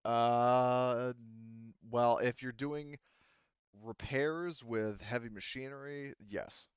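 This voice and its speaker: Clear-voiced man running a game, dull sound. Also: medium pitch, steady tone, slow pace, no room sound. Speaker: game master. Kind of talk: casual tabletop game explanation.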